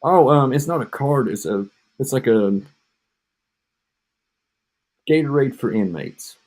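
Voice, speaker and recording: A man's voice: mid-range voice, animated, normal speed, a little mellow. A man co-hosting a podcast, rambling and joking in casual chat, drifting off mid-thought, with an echo on his home mic. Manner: joking, meandering, trails off. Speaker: podcast co-host.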